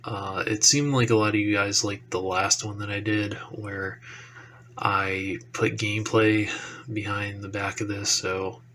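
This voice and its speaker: Slow, congested young man with a bright tone. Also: on the low side, a little hiss or hum. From Texas. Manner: relaxed rambling with frequent ums and profanity. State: congested from allergies. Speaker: action figure YouTuber. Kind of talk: casual solo podcast talk.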